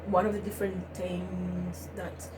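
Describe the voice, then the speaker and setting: A woman's voice: on the low side, flat delivery, measured pace. A young woman co-hosting a vlog, explaining things in casual chat, often repeating words and saying "obviously". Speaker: co-host. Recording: noisy home recording.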